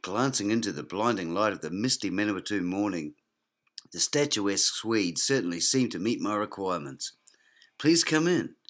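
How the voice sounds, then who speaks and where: A man with a New Zealand accent narrating a comic detective story in a dry, hard-boiled deadpan. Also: fairly low pitch, normal speed. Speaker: narrator and lead comic actor. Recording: music playing behind voice. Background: music.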